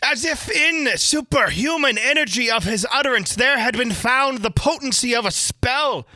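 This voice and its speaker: A woman storyteller reading a classic horror tale as dramatic gothic narration, with theatrical, deliberate phrasing. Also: on the high side, animated, bright, forceful tone, no room sound.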